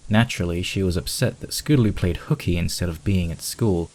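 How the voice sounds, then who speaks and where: A man's voice: low voice, fairly quick, darkish tone. A man reading scripted story narration as the narrator of a piece of fan fiction.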